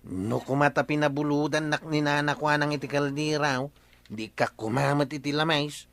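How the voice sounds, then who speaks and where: Grumbling, bossy village man, bright forceful voice on the high side, Ilocano accent. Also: steady tone, moderate pace, dry recording. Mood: scheming. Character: grumbling, grudge-bearing village man, bossy older brother. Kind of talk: Ilocano radio comedy drama acting.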